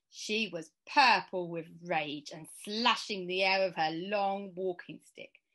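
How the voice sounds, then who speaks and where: Female storybook reader, emphatic and dramatic, unhurried, forceful tone. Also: medium pitch. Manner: expressive, emphatic. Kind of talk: dramatic children's story reading. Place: small room indoors.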